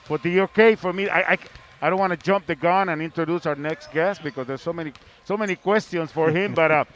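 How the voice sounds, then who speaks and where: A man's voice: on the high side, brisk, a bit bright. A man hosting a live sports interview at an arena, effusive and given to repeating words for emphasis. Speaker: sports broadcast host. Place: large indoor arena, live event.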